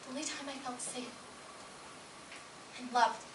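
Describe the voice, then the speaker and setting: Woman acting a hushed, wounded, disturbed daughter, echoey. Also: sits high, fairly even intonation. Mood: chilling, mournful. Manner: slow, hushed, deliberate delivery with sudden swells. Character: disturbed, scarred daughter, wounded and yearning for mother. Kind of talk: dramatic stage monologue. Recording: distant, echoey room with faint noise.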